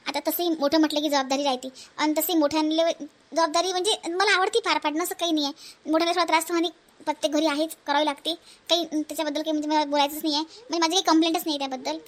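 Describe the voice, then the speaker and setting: Dutiful, conciliatory eldest sister-in-law for a family cartoon, played by a woman: high, brisk, hesitant delivery, Varhadi Marathi accent. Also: fairly even intonation, natural voice. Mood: gentle, reassuring. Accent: Varhadi Marathi from Vidarbha. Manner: conciliatory, hesitant, self-sacrificing. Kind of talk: homely family cartoon voice acting.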